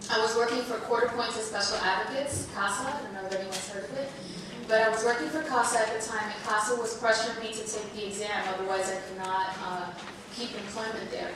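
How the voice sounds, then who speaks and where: Woman, native Spanish speaker, slight cold, earnest and halting, higher voice, distant mic in a big echoey room. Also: steady tone. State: under the weather, slight cold. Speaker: panel speaker. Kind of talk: personal talk to an audience. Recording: echoey hall, far from mic, noisy.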